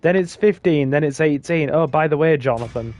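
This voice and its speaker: A man's voice: fairly high pitch, fairly quick, darkish tone, a little hiss or hum. A man hosting a casual gaming stream, chatting with a friend in a rambling way with fillers. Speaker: streamer host.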